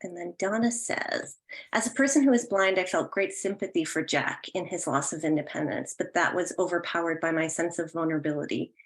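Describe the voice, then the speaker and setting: A woman's voice: lowish voice, a little fast. A woman hosting a virtual question and comment session, encouraging and affirming as she talks, hesitantly searching for words. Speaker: host of an online audience discussion. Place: online session, remote call.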